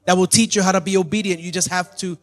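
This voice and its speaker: A man with a Puerto Rican accent preaching a sermon in short punchy lines, pausing for his interpreter and breaking into playful impressions. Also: high voice, fairly even intonation. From Puerto Rico. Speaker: pastor.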